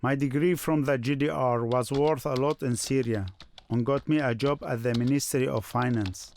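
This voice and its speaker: A man's voice: medium pitch, steady tone, normal speed, gravelly, a little mellow, slight background noise, natural voice. A man voicing a Syrian husband and father recalling family life in scripted first-person narration. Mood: reflective.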